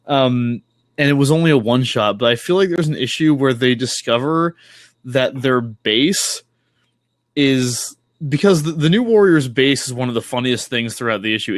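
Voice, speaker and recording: A man chatting casually as a podcast guest, halting and thinking aloud, correcting himself and swearing offhandedly. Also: mid-range voice, no room sound, studio quality. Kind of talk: casual chat about comics.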